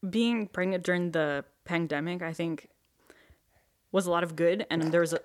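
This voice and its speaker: Woman, young adult, new mother, conversational testimony, fillers, slightly low pitch. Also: normal speed. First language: not French. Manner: conversational, many fillers and restarts. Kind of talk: personal testimony interview.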